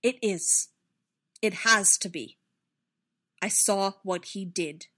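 A woman's voice: medium pitch, a bit bright. Woman acting an anguished, impassioned young man. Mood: strained insistence. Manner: impassioned, halting, insistent repetition. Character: anguished young male Jedi, self-doubting, terrified of himself.

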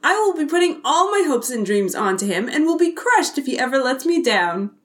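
A woman's voice: high-pitched, sing-song, brisk. A woman playing a boyish, wisecracking male police detective, playful and enthusiastic. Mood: eager.

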